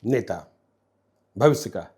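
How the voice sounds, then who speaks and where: A man interviewing a guest, firing off quick questions and often murmuring his assent. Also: on the low side, expressive, mellow, slightly rough. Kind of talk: rapid-fire interview questions.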